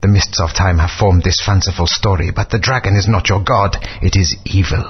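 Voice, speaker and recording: An actor playing a stern, grave elder of an ancient alien race in a video game, speaking in a measured, authoritative way. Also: male voice, low voice. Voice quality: husky. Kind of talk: game voice acting. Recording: dull lo-fi game audio.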